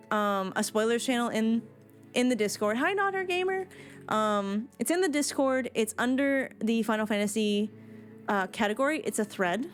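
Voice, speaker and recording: High-voiced woman streamer chatting casually. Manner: informal, chatty, addressing viewers. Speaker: game livestreamer. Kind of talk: casual livestream chat.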